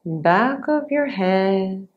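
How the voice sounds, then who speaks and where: A woman teaching yoga nidra as a guided meditation, giving short, measured instructions with long pauses between them. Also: mid-range voice, lively intonation, dull audio.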